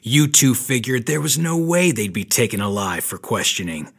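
An actor playing a world-weary, dry private detective in a 1930s noir audio drama, terse and tough with a sardonic edge. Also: male voice, medium pitch, very animated, moderate pace, vocal fry, fairly bright tone, slightly rough, exaggerated voice. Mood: accusing, hard.

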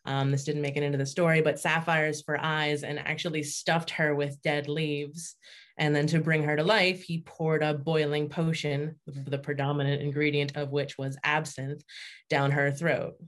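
A woman with a notably deep voice talks as a guest author in an interview. Her explanations are conversational yet articulate, laid out in thoughtful, measured phrases. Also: flat delivery. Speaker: fiction writer, interview guest.

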